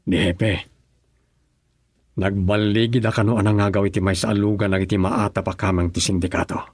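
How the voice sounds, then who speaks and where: An actor with a Filipino accent playing a composed, authoritative husband in a radio drama, in a measured manner. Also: male voice, lowish voice, flat delivery, dry recording, clean studio sound, natural voice. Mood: composed, pleased. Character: composed, authoritative husband involved in fighting crime.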